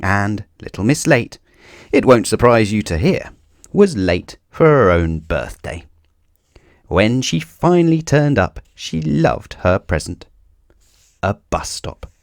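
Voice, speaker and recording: A man reading a children's story aloud in an expressive narrating style. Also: lowish voice, slow, vocal fry, no echo, studio quality.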